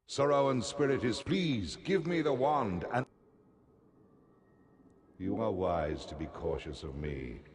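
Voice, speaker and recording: An actor playing a grave, remorseful noble spiritual leader in a fantasy video game, speaking in a formal, measured manner. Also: male voice, on the low side, animated. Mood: urgent pleading, then earnest, grave. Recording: echoey hall reverb, clear background ambience.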